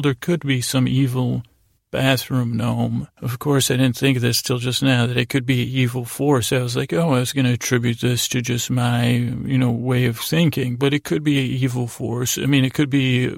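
A man hosting a bedtime podcast with a gravelly, creaky voice, rambling in a halting, repetitive, folksy and digressive monologue. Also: mid-range voice, dry recording, studio quality. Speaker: podcast host.

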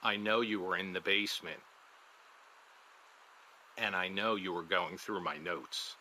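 A man doing a guilt-ridden neighbor in an audio drama, calm and low, with a bright, edgy tone. His voice sits on the low side, and a faint hiss lies under it. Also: a little slow, heavily acted, low-quality recording. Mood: ominous. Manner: calm, low, ominous then apologetic. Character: desperate guilty middle-aged man, Jim.

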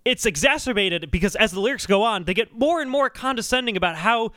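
A male podcast host talks in a high, bright voice that swings up and down as he explains. He is sardonic and emphatic, casually dropping swear words, and the sound is dry. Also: expressive, quick pace, no room sound.

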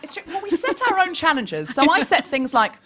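A woman hosting a comedy podcast, performing stand-up to a live audience in an animated, mock-serious manner. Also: high voice, a little fast, background noise. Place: small live comedy venue. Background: audience laughter.

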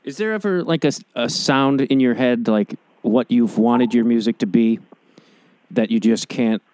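A man's voice: mid-range voice, measured pace, a little mellow, clear, resonant tone, no room sound, low-quality recording. A man hosting a casual interview, rambling and swearing casually. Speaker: podcast host.

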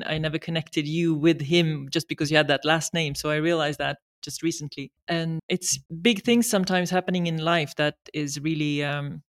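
A woman interviewer asking questions in an expressive way, sometimes pausing to find words. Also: deep voice. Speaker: interviewer, host.

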